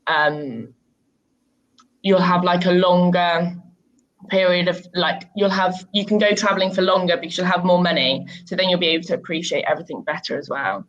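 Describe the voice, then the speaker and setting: Low-voiced woman teacher on a muffled call. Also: steady tone, moderate pace. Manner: chatty, easygoing, many ums. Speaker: online English teacher. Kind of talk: casual conversation lesson. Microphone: video call. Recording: muffled video-call audio.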